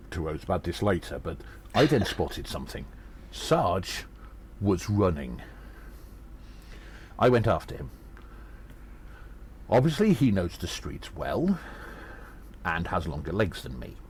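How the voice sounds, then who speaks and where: A man playing a small, agile adventurer who recounts battles in an audio drama, earnest and dramatic, with halting pauses. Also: low-pitched, a little mellow, a little hiss or hum. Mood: urgent, curious. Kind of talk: first-person audio drama narration.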